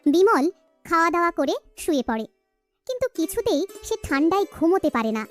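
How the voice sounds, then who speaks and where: A woman's voice: very high-pitched, big pitch swings, fairly quick, soft background music. A woman narrator reading a scripted children's story.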